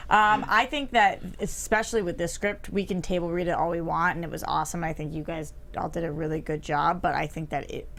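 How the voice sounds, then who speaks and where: A woman's voice: lowish voice, steady tone, a little fast, hardly any pauses, fairly bright tone, slight background noise. A woman speaking casually on a discussion panel, conversational and informal. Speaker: table read panelist.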